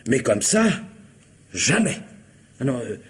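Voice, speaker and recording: A man's voice: mid-range voice, very animated, husky, heavily acted. A man playing a talkative police commissioner in a radio comedy-mystery, falsely courteous and insinuating, hesitating and drawing out his words. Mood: chatty, ironic. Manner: hesitant, insinuating, mock-polite, drawn-out words. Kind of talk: theatrical French radio comedy-mystery acting.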